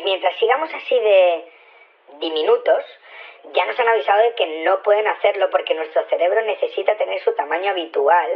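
An actor playing a flustered, hesitant security chief shrunk to half size in a radio drama, with a small pitched-up voice on a telephone. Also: male voice. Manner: hesitant, candid. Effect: pitched-up tiny voice. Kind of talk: scripted radio drama phone interview. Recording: muffled narrow telephone line.

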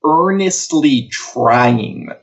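A man's voice: a little high, lively intonation, drawn-out words, fairly bright tone, lo-fi sound. A man co-hosting an entertainment show in casual, conversational style. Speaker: co-host of an entertainment news show. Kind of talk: casual conversational pop-culture chat.

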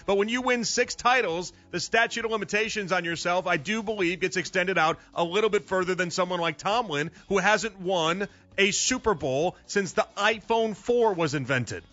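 A high, bright-toned man in his thirties riffs on sports talk radio, firing off rhetorical questions and pressing his case. The broadcast sound is a little dull, with faint music under his voice. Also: moderate pace. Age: 30s. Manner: animated, argumentative, rhetorical questions.

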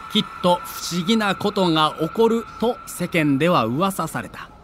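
Male narrator, high and very lively delivery, dramatic scripted storytelling. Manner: vivid storyteller, builds excitement.

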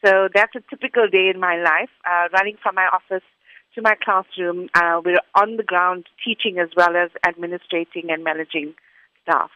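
Woman, 40s, articulate, steady, dull sound. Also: lowish voice, normal speed, no room sound. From South Africa. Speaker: interview guest, school academic director. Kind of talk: interview answers.

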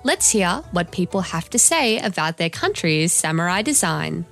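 A woman's voice: fairly low pitch, very animated, moderate pace, creaky, a bit bright, soft background music. A woman reporting a news feature and asking interview questions. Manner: scripted reporting.

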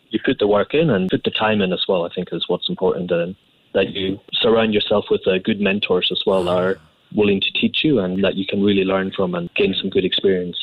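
A man's voice: lowish voice, slightly rough, dry recording, narrow, tinny audio. A Scottish man, an executive chef, answering questions conversationally in an interview. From Scotland.

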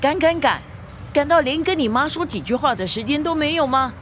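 Nagging old singaporean mum, radio play, big swings in pitch, bit of noise behind her. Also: lively intonation, brisk, fairly bright tone. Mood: nagging, exasperated. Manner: scolding, reproachful, argumentative. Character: nagging, aggrieved elderly mother, a grandmother, fretting over daughter. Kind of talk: radio drama acting.

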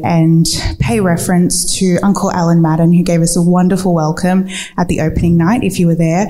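A woman's voice: fairly low pitch, warm tone. A woman moderating a live panel talk. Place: live festival talk before an audience.

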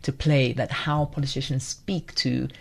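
A woman's voice: a bass voice, fairly even intonation, unhurried, vocal fry. A woman in her fifties from Nigeria, a guest in a conversational interview, talking reflectively with frequent restarts. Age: 50s.

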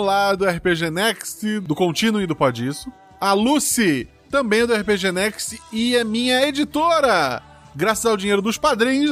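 A man hosting a tabletop game podcast as game master, narrating in a relaxed, joking way. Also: high voice.

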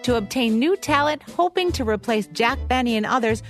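A woman's voice: a little high, lively intonation, normal speed, soft background music. A woman with an American accent co-hosting a podcast, reading scripted narration about radio history. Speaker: podcast co-host.

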